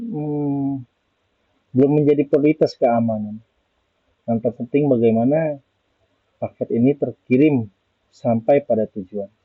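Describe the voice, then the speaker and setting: The audio is thin and narrowband like a phone line, with very little room sound. An Indonesian man with a slightly rough, husky voice presents slides in an informal way. He explains point by point, haltingly, often repeating himself. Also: medium pitch, no echo. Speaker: student presenter reviewing an article.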